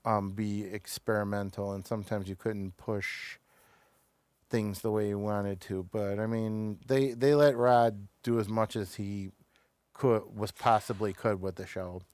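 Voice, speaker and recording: A man hosting a show, chatting casually through reviews in a halting, stop-and-start way. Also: lowish voice, fairly even intonation, darkish tone, dry recording. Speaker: show host reviewing television episodes.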